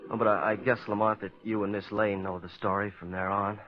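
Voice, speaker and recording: An actor playing an earnest young prisoner in a 1940s radio drama. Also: male voice, on the low side, little pitch movement, a little fast, close to an everyday voice. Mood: recounting. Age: young adult. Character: earnest young man, a handcuffed prisoner protesting innocence. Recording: dull lo-fi 1940s radio recording.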